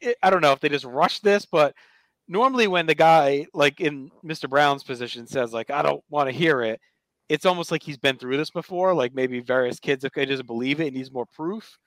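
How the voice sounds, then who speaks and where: A man's voice: a little high, brisk, a bit bright, no room sound, rough recording. A man hosting a podcast, casually recapping a TV episode and retelling scenes with quoted dialogue. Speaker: podcast host.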